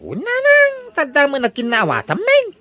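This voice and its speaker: Fickle young bridegroom played by a man, Ilocano accent, radio comedy; brazen wheedler with extremely animated, swooping pitch. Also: normal speed, dry recording, full character voice. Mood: insistent. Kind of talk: comedy radio drama acting.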